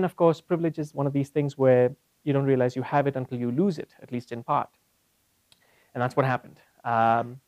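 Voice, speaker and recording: A man's voice: medium pitch, darkish tone, dry recording. A man giving a conversational, articulate lecture as a guest academic speaker. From India (Bombay). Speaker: guest speaker, university psychology professor. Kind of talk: conference lecture with personal storytelling. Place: university classroom, speaking to a small seated audience.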